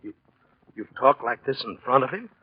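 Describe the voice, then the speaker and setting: A man's voice: medium pitch, lively intonation, normal speed, husky, no echo. Troubled 1940s radio-drama leading man. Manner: hesitant, earnest, agitated. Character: conflicted, guilt-ridden married man, anxious painter. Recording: dull vintage 1940s radio recording.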